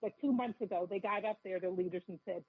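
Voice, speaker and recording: Raspy woman with a cold on a phone line. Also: medium pitch, no room sound. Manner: fervent, rapid, repeats herself. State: has a cold. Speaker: caller guest. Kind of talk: phone-in talk radio interview.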